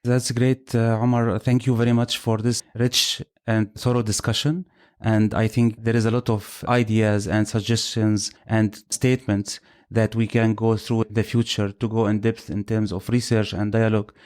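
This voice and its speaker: A man hosting an interview, asking deliberate questions and thanking his guest in a formal, courteous way. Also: lowish voice, little pitch movement, darkish tone, clear, resonant tone. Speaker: podcast host.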